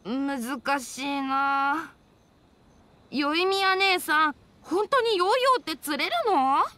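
Small innocent boy, high bright childlike voice, halting, earnest, anime game. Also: lively intonation, heavily acted. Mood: earnest, puzzled.

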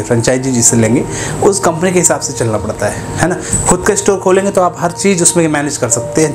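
Young man, mid-pitched voice, casual explainer, lapel mic, audible background noise. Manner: conversational, keeps asking "है ना", gestures with both hands. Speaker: business advice YouTuber. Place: indoors, grocery-store backdrop.

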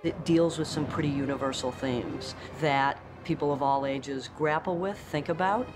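A woman's voice: deep voice, normal speed, music in the background. A woman speaking in a documentary interview.

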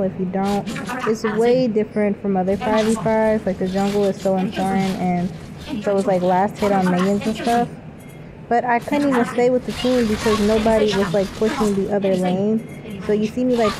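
A young woman narrates her gameplay in a quiet, soft-spoken voice, chatty and peppered with "like." Her mic sits right by her mouth. A clear hiss of background noise runs underneath. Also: medium pitch. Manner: chatty, frequent "like" fillers. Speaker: gameplay commentator. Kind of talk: casual voice-over commentary. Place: lying down at home. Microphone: microphone held close to mouth.

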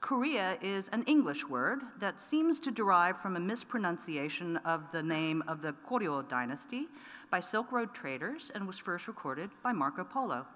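A middle-aged woman poet reading her work aloud at a lectern microphone, measured and deliberate with pointed emphasis. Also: deep voice, fairly bright tone. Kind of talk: poetry reading. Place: large wood-paneled auditorium, at a lectern. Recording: long reverb of a large hall.

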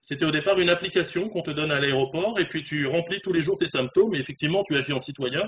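A man in his forties reporting as a correspondent on a remote news discussion, animated, speaking from a room at home over a webcam. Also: a little high. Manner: fast, animated, talks with hands. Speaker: correspondent in South Korea. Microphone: video call webcam. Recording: dull video call audio, faint noise.

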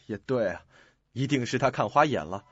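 A man playing a polite, curious painter who is easily impressed, with a husky voice, in a radio drama. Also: medium pitch, expressive, normal speed, fairly bright tone, soft background music. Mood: probing. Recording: muffled, dry lo-fi audio.

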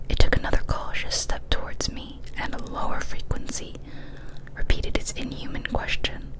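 A young adult woman reads a horror story as ASMR in a breathy whisper, careful and deliberate, close to a studio microphone. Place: small cozy indoor room. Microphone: close studio condenser mic on a boom arm.

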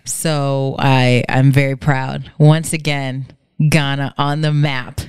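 A young woman co-hosting a casual home podcast, chatty and animated, talking into a desk mic. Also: very deep voice, slow, smooth, no echo, studio quality. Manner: chatty, laughs often, animated. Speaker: podcast co-host. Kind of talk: casual chat. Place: home living room by a staircase. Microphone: studio mic on a desk stand, headphones on.

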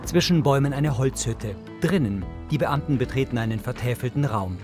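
A man's voice: mid-range voice, lively intonation, a little fast. Male narrator over prominent music. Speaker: audio description narrator. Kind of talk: scripted present-tense scene narration.

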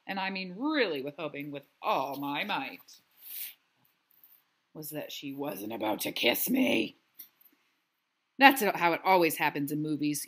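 A woman voicing an honest, awkward young schoolboy in a storybook, self-conscious and expressive. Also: on the low side. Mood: wry.

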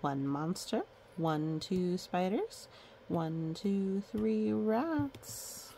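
A woman storyteller reads to children at an unhurried pace, with a noticeably creaky edge to her voice. She is playful, dropping in little comments and counting in a sing-song way. There is some background noise on the recording. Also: on the low side, expressive, warm tone. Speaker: children's book read-aloud storyteller. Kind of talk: picture book read-aloud with chatty asides to children.

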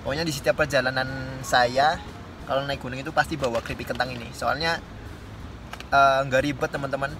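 A man's voice: medium pitch, steady tone, fairly quick, long pauses, over music. A man in his twenties from Indonesia, chatting casually to camera as a solo hiking vlogger inside a small tent. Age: 20s. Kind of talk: casual vlog chat to camera. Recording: clear background noise, outdoor ambience.

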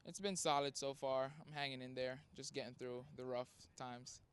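A man's voice: medium pitch, fairly even intonation, moderate pace, clear, resonant tone. A young man from New Jersey, laid-back, talking into a handheld mic on an outdoor soccer field. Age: young adult. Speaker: college soccer recruit. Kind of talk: casual on-camera interview.

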